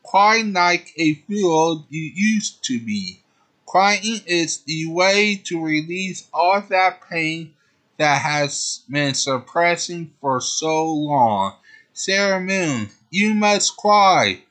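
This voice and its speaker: A man voicing a gentle young male hero who speaks softly and comfortingly, almost begging for forgiveness. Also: a little high, lively intonation, extremely slow, pitched above the performer's own voice, heavily acted. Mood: comforting, pleading.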